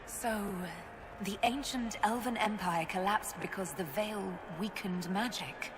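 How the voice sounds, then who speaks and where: A woman playing a composed heroine leader in a video game, asking questions in a measured, diplomatic manner. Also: medium pitch, background noise, close to an everyday voice. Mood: grave, questioning. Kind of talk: video game drama acting.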